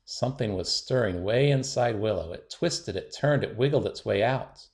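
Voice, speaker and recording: A man reading a children's picture book aloud in a gentle, expressive storytelling manner. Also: on the low side, moderate pace, gravelly. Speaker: storybook reader.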